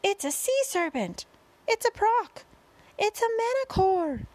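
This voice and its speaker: A woman voicing frightened, astonished onlookers, policemen and firemen in a storybook, shouting excitably. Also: fairly high pitch, measured pace, breathy edge, no room sound, full character voice, poor audio. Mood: panicked.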